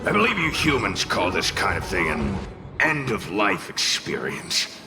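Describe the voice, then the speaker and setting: An actor playing a grumpy, sarcastic spirit in a video game, gravelly and theatrical, with haughty disdain. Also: male voice, sits low, wildly swooping pitch, measured pace, over music, full character voice. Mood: wistful, brooding.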